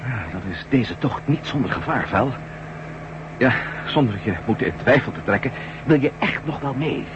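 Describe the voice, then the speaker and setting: A man's voice: medium pitch, expressive, normal speed, close to an everyday voice. A man playing an impulsive, decisive reporter and adventurer in an old radio drama. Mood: thoughtful. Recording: noisy, dull old radio recording.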